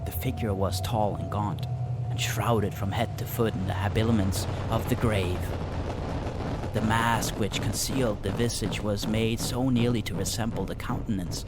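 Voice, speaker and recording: A man reads a classic horror tale aloud as dramatic live storytelling, delivering it solemnly and deliberately in measured phrases. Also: on the low side, steady tone, very noisy. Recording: live one-take reading with loud music. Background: loud music under the voice.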